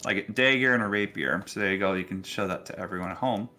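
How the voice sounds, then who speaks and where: A man acting as game master, narrating casually and haltingly with frequent pauses. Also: lowish voice, sharp tone, soft background music. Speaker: game master of a tabletop role-playing game.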